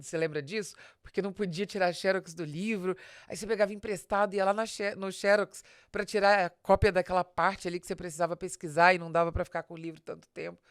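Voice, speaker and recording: A woman's voice: lowish voice, no echo, clean studio sound. A woman with a Brazilian Portuguese accent hosts a business podcast in a conversational, explanatory monologue, addressing the listener directly with questions and examples. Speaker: podcast host and business advisor.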